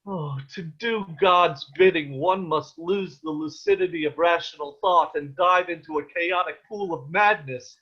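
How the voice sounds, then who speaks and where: A man performs a protective, anguished peasant father in a period drama. His voice sits slightly high and he takes his time over ornate, archaic phrases. The sound is a little dull, like an online call. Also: measured pace, few pauses, breathy edge. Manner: ornate old-fashioned diction. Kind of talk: dramatic table read of a period stage play. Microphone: video call.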